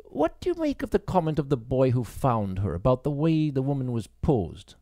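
A man's voice: medium pitch, big pitch swings, normal speed, dark tone, dry recording, studio quality. A man voicing an earnest, questioning young country policewoman in a mystery story reading. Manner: earnest, inquiring.

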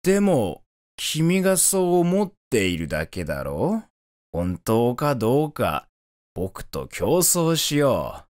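Calm tortoise with swooping intonation. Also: male voice, mid-range voice, slow, no room sound, clean studio sound. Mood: challenging. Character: calm confident male tortoise, challenging a boastful hare. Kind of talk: children's animated fairy tale acting.

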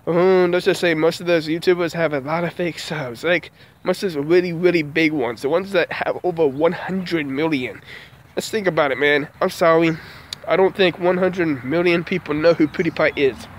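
A man's voice: on the high side, normal speed, slight background noise. Frustrated young man ranting outdoors on a phone. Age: 20s. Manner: frustrated, dejected, repetitive. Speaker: small vlogger. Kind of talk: casual vlog rant. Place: outdoors beside a house. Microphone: phone held at arm's length.

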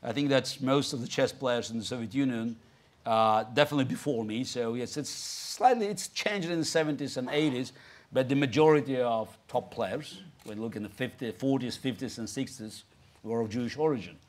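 Russian-accented man, halting onstage talk. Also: medium pitch. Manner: halting, with many restarts and "you know" fillers. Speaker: guest speaker. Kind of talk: onstage conversation before an audience. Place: large theatre stage, indoors.

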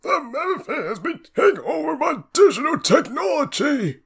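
A man voicing a loud, irate, menacing cartoon character with a rough, strained shout. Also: extremely high pitch, sing-song, moderate pace, heavily acted. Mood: declaiming.

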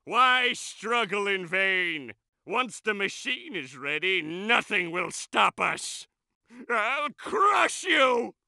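A tiny, megalomaniac villain from a cartoon video game, speaks in a high, piercingly bright voice with a gravelly rasp. He drags out his schemes at a slow, gloating crawl. His boasts keep breaking into shouts, all of it in a dry, close studio sound. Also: big pitch swings, dry recording, heavily acted. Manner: grandiose boasting with sudden shouts.

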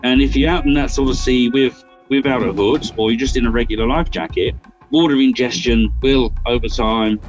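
An older man telling a sailing story in a lively, casual way, swearing and acting out his own reactions, recorded over a video call. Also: medium pitch, fairly quick, soft background music. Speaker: guest sailor. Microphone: video call webcam. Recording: dull lo-fi video call audio.

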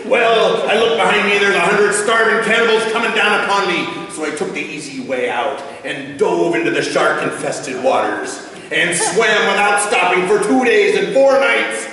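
A middle-aged man playing a salty, boastful pirate sailor, spinning a tall tale on stage in a hammy, drawn-out pirate dialect with a gravelly voice. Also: high-pitched, a crowd in the background, pitched above the performer's own voice. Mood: boastful, comic. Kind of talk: theatrical comic storytelling on stage. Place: small indoor stage before seated audience. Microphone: far camera. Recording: echoey hall, distant camera microphone.

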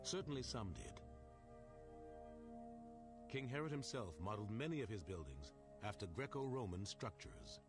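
A man narrates a documentary in a measured, storytelling manner. His voice sits low and swings widely in pitch. Music plays clearly behind him. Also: sing-song. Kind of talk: scripted narration. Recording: narration over loud music.

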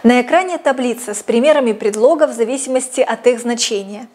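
A young woman presenting a scripted educational lesson in a clear, measured teacherly way. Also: a little high, a little mellow. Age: young adult. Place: small indoor studio set, seated. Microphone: lapel mic.

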